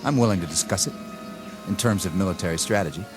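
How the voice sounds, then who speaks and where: An actor playing an arrogant, inexperienced army lieutenant in a radio drama, with a clipped, formal, commanding manner. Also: male voice, low voice, quick pace, lightly acted. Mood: controlled, firm. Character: arrogant, rigid, inexperienced young army lieutenant, eager for combat. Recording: dramatic music under the dialogue.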